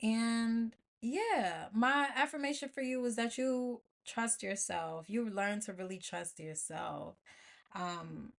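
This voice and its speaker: A woman's voice: on the high side, takes it slowly, creaky. An American woman hosting an informal motivational podcast solo, speaking colloquially and emphatically, repeating phrases to drive them home. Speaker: podcast host.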